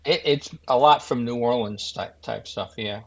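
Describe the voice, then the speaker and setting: Gravelly man talking gardening in a folksy way. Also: mid-range voice, normal speed, muffled recording. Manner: folksy, explains with many restarts. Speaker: podcast guest. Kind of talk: casual chat.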